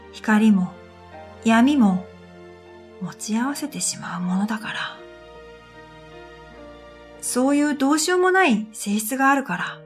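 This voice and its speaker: High-voiced woman narrating over music. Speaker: narrator and protagonist of an audio drama. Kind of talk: scripted first-person storytelling narration. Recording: background music under the voice.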